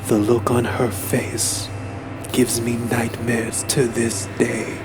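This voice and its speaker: A man giving a solemn, deliberate, suspenseful dramatic reading of a first-person horror story. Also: medium pitch, monotone, noisy background. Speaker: narrator. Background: background music.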